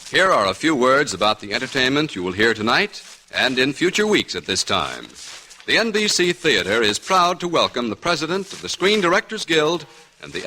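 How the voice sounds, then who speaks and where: A man's voice: mid-range voice, bright, forceful tone, slight background noise, low-quality recording. A man doing formal scripted announcing in an old-time radio style. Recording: old radio broadcast with music underneath. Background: music.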